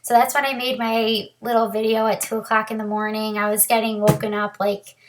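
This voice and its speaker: Woman with a raspy post-surgery voice. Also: a little high, level tone, a little fast, noisy background. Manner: candid, frequent ums and restarts. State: raspy, dry throat after breathing tube. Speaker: vlogger sharing her surgery recovery. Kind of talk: casual talk to camera.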